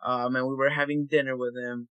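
A man, an actor, talking casually in an interview, relaxed and hesitant with frequent fillers. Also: mid-range voice, brisk, no echo.

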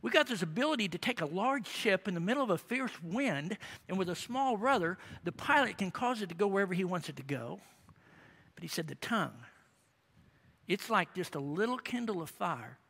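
Bright, high-voiced preacher in a hall. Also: male voice, normal speed, gravelly, breathy edge. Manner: storytelling, animated and humorous with rising emphasis. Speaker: pastor. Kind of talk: sermon.

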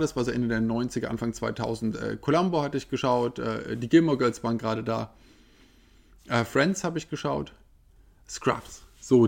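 A man in his thirties ranting in a casual, animated way, firing off rhetorical questions. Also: medium pitch, vocal fry. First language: German. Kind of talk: casual opinion monologue.

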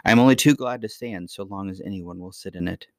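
A mysterious, kindly grandmother from a children's storybook, read by a male narrator. The voice sits fairly low and stays serene, gentle and patient, reassuring as it instructs. The sound is dry. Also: fast talker, dry recording. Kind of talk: acted dialogue in a children's fairy tale reading.

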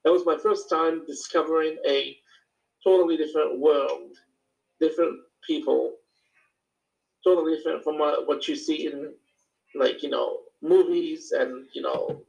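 A man's voice: on the high side, fairly even intonation, measured pace, creaky, a little mellow, low-quality recording. A man from Morocco with a Moroccan accent, speaking reflectively and hesitantly as he explains his personal experience in an informal talk to a small group.

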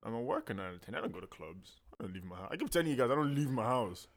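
A young man from Nigeria trades quick, playful jabs in loose podcast banter. His voice sits in a middle range and rises and falls in lively swings. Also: mid-range voice. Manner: playful, quick comebacks, defensive. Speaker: DJ and podcast co-host.

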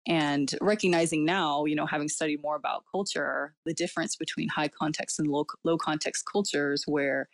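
Low-voiced woman, cantonese first language, lots of likes and ums, dry close mic. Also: normal speed, few pauses, dry recording. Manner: reflective, many fillers, laughs often. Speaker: guest. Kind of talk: casual personal interview talk.

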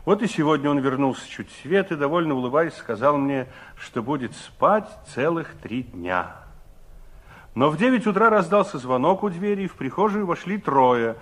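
An actor playing a calm, kindly older man, soothing and gently wry, in a 1960s radio play. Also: fairly high pitch, moderate pace. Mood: reflective narration. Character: calm, kindly older man, reassuring family friend and storyteller.

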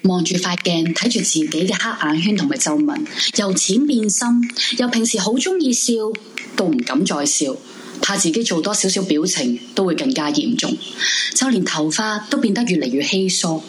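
A lonely, heartbroken woman voiced by an actress with a slightly low voice, brooding and weary. It is a horror radio play, recorded live with noticeable noise. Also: expressive, moderate pace, close to an everyday voice. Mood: melancholy, brooding. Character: lonely, weary, clinging heartbroken woman past twenty-five. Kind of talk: live horror radio drama, confessional monologue. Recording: noisy live room recording.

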